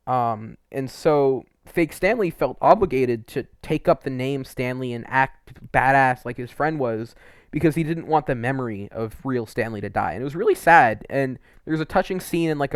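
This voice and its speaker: A man's voice: mid-range voice, no room sound. Casual rambling young-sounding man, close dry sound. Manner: rambling, frequent "like" and mild swearing. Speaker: video creator reviewing game quests. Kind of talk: casual opinionated commentary, unscripted.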